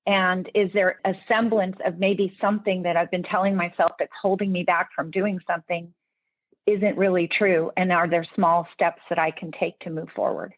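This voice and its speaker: A woman's voice: on the low side, little pitch movement, moderate pace, dry recording. Woman on a tinny remote line. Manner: earnest, explanatory, with many restarts. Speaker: podcast guest, leadership speaker. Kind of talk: interview answers.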